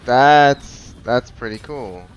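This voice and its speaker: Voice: mid-range voice, slow pace, clean tone, lo-fi sound. A gamer giving casual, informal, reactive commentary over a video game playthrough. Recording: game music behind the voice. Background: game music.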